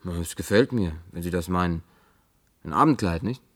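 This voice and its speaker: A man's voice: deep voice, moderate pace. A man dubbing a wary, down-to-earth man who answers in a skeptical, curt way. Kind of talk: German film dub.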